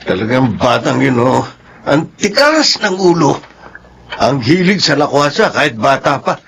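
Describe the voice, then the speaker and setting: A man's voice: medium pitch, very animated, unhurried, vocal fry, fairly bright tone, a little hiss or hum, exaggerated voice. A man acting in a Filipino radio drama as a stern, exasperated father who scolds and reproaches. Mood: annoyed.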